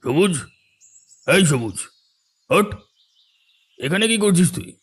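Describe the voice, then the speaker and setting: Man playing a brisk, scolding village uncle. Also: high-pitched, expressive, a bit bright, slightly rough, heavily acted. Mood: urgent, scolding. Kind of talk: dramatised horror story dialogue.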